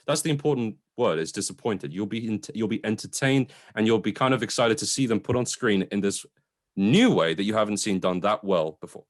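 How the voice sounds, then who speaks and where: A man's voice: on the low side, brisk. A man co-hosting a casual, conversational film review, informal and sometimes sweary as he qualifies his opinions.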